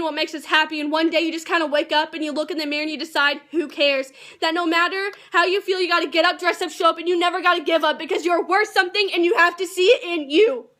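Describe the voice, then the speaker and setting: Fast, high-voiced teenage girl reciting slam poetry. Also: pushed and bright. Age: teens. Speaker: amateur slam poet.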